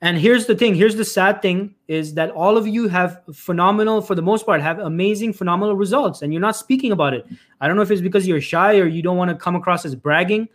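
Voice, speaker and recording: A man's voice: high voice, fast. A man hosting a live stream as a career coach, giving emphatic advice to job seekers, often repeating himself and calling his audience "folks.". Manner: frequent restarts and filler "uh".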